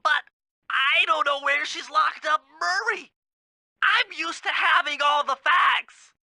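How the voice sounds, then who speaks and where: A man's voice: extremely high pitch, takes it slowly, bright, forceful tone, no room sound, studio quality, exaggerated voice. An actor playing a nerdy, anxious young male turtle in a video game, earnest and precise, with a nasal voice. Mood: panicked. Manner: earnest, precise, easily panicked.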